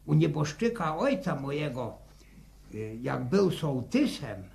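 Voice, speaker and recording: A man's voice: on the high side, very animated, takes it slowly, darkish tone, full character voice. An actor playing a talkative, cautious old grandfather with a rural Polish accent, hesitant and repetitive, in a 1960 radio serial. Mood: earnest, reminiscing. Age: older. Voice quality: creaky elderly voice. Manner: hesitant, stammering and repeating words.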